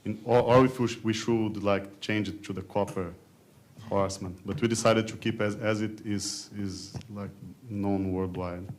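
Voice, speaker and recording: A man's voice: on the low side, fairly even intonation, moderate pace. A man from Brazil with a Brazilian accent and Portuguese as his first language, talking hesitantly as a translator on a panel and often repeating words. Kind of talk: informal panel talk.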